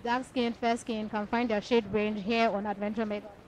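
A woman's voice: mid-range voice, flat delivery, normal speed, darkish tone, low-quality recording. A young woman with a Nigerian accent interviewing people on a handheld mic at a fair. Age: young adult. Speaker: interviewer, reporter. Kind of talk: vox-pop interview at a fair. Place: outdoor market fair under tents. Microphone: handheld reporter microphone. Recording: busy outdoor crowd noise.

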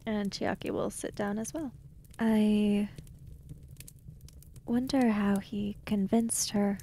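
A woman's voice: medium pitch, steady tone, slow, dark tone, a little hiss or hum. A woman playing a tabletop roleplaying game, chatting casually and describing what her character does.

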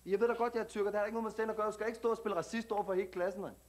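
A man's voice: high voice, fairly even intonation, lightly acted. An actor playing a brash young man at a TV casting, swaggering and aggressive, who tacks "mand" onto his lines. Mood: indignant. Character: brash young male street tough at a TV casting, hot-tempered. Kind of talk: scripted sketch comedy.

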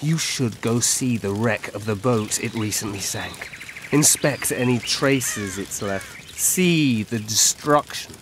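Solemn, world-weary old elf. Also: male voice, lowish voice. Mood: grave, measured. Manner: measured, solemn, formal. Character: old male elf forest scout, world-weary and grave. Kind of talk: video game dialogue acting. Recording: clear ambient noise behind.

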